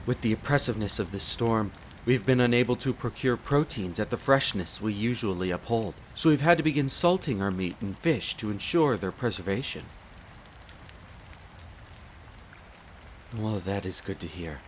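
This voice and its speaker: A man voicing a courteous, devoted butler in an audio roleplay, speaking in a formal, polite and measured way straight to the listener. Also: fairly low pitch, close to an everyday voice. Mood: courteous, attentive. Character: courteous, devoted demi-human butler in a vampire's castle. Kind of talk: scripted roleplay addressed to the listener. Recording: rain noise behind the voice. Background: steady rain of a storm.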